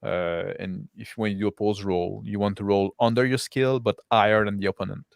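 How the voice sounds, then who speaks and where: A man's voice: on the low side, steady tone, normal speed, gravelly, dry recording, clean studio sound. A man hosting a roleplaying stream as game master, chatting casually and rephrasing as he goes. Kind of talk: casual unscripted post-game chat.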